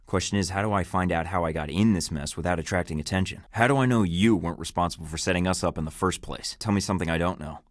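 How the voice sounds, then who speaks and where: Guy doing a dry sarcastic young spy agent, real deep voice, dead dry studio sound. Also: quick pace, hardly any pauses, no echo, natural voice. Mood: wary. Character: young male field agent, dry and sardonic. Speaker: game protagonist, spy. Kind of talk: video game voice acting.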